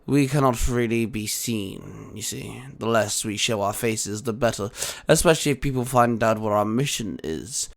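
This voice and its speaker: A man voicing a calm, grave mentor of heroes in an audiobook, speaking in a measured, emphatic way. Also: fairly low pitch, gravelly, a little hiss or hum. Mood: explanatory. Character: calm, grave male mentor guarding the multiverse. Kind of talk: dramatic audiobook character acting.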